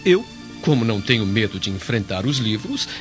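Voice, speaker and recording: An actor playing a calm, quietly witty slave who speaks in measured radio-drama delivery. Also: male voice, fairly low pitch. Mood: calm, knowing. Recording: dull lo-fi radio drama, music underneath.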